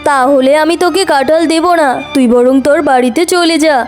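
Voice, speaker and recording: A woman's voice: sits high, fairly quick, soft background music, natural voice. A woman voicing a sly, stingy tigress auntie in a children's cartoon, bossy and coaxing. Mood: bossy, complaining.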